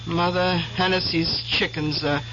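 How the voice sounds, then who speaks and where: A woman's voice: on the low side, steady tone, measured pace, lightly acted. An actress playing a casual, baffled young woman who works among musicians in a radio drama. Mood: baffled, hesitant. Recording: dull hissy old radio recording.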